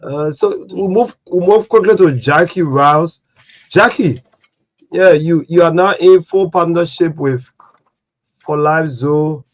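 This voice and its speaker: A man's voice: on the high side, takes it slowly. A man hosting a casual interview, often stammering into his questions and answering with "wow". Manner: stammering restarts, frequent "wow". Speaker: talk show host. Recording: dull lo-fi sound.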